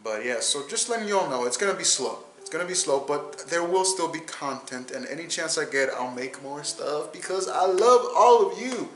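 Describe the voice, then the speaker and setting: Man, 20s, solo podcast host, rambling and repetitive; slightly high voice, roomy echo. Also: lively intonation, normal speed, hardly any pauses, a bit bright. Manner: rambling, repeats words and restarts. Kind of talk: casual solo podcast monologue.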